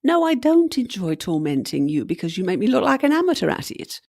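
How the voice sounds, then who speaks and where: A woman plays a servant girl's ghost in a comic radio play, flippant and sardonic. Her voice is husky and mellow. She teases breezily, with lively rises and falls in pitch. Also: fairly quick. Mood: dismissive. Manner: sarcastic, teasing, breezy.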